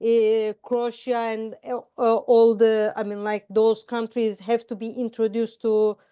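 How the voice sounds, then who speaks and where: A woman with a clear, smooth, slightly high voice chats as an interview host. She circles tentatively toward her questions with lots of small filler phrases. The sound is dry and a little muffled. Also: level tone, measured pace, mellow, no echo. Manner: tentative, roundabout questions padded with "I mean, like". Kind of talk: casual chat. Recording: close dry mic, dull lo-fi sound.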